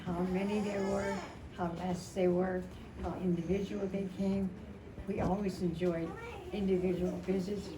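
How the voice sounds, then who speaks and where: Older woman in her seventies, halting emotional tribute, roomy echo, clear background noise. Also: on the low side, level tone. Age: 70s. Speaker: widow speaking at a memorial. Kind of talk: informal personal tribute, storytelling. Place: indoor family memorial gathering. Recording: echoey, distant mic, noisy gathering room.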